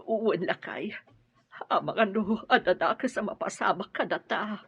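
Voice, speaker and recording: A woman's voice: medium pitch, moderate pace, fairly bright tone, husky, highs cut off. An actress playing a fretful middle-aged mother in an audio drama. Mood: anxious.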